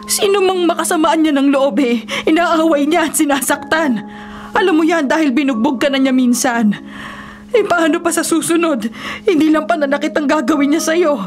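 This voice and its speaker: Actress as worried filipino radio drama wife, really high voice, nagging and pleading, bit of music under it. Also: female voice, moderate pace, close to an everyday voice. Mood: tearful pleading. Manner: pleading, scolding, emotional. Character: anxious, nagging wife of a forest ranger.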